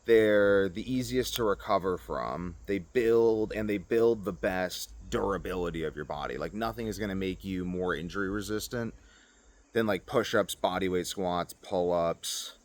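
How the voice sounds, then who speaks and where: A man's voice: deep voice, normal speed, dry recording. A man talking casually to viewers in a loose, informal, rambling vlog style. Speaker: vlogger. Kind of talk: casual rambling fitness and lifestyle vlog talk.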